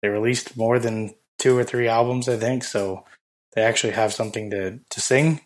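A man co-hosting a podcast in casual chat, hoarse from losing his voice, rambling with many fillers. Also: medium pitch, fairly even intonation, moderate pace. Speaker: podcast co-host.

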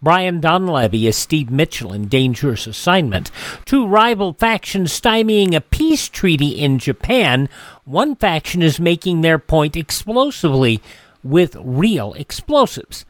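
A man's voice: on the high side, very animated, a little slow, fairly bright tone, no room sound. A man hosting a radio show, reading scripted news items and announcements.

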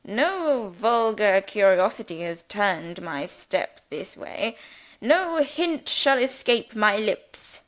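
A woman's voice: mid-range voice, close to an everyday voice, rough recording. A woman reading the part of a pompous, sermonizing male country rector who loves grand words.

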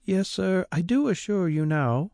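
Prickly country housekeeper, rustic speech; man doing her in falsetto-ish lift, dry close read. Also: expressive, measured pace, vocal fry, a little mellow, no room sound, full character voice. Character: prim middle-aged woman housekeeper, touchy.

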